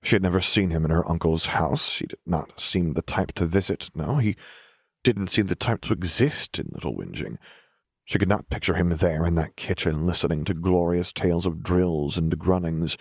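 Guy narrating an audiobook, pretty deep voice, really dry close sound. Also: normal speed, a little mellow, husky, no echo. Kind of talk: scripted fiction narration.

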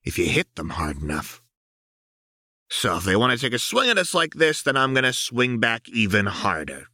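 Man putting on a manic, piercingly bright young woman crime baron. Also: mid-range voice, big pitch swings, normal speed, dry recording, studio quality, voice pushed up. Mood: menacing glee. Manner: brash, taunting, swinging delivery.

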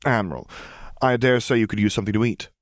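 A man's voice: fairly low pitch, fast talker, dry recording, lightly acted. A man voicing a wry princess and mother in an audiobook, with a dry, firm manner. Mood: gracious.

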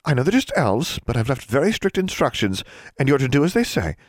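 A man's voice: medium pitch, big pitch swings, a little fast, no room sound, studio quality, pitched above the performer's own voice. A man voicing a prim, politely firm woman librarian in a storybook reading, giving instructions.

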